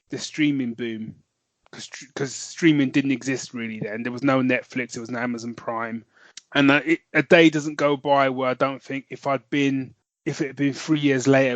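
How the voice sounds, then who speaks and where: A man's voice: mid-range voice, a bit bright, dry recording, muffled recording. A man co-hosting a podcast in casual chat, rambling and correcting himself, laughing easily. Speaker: podcast co-host and novelist.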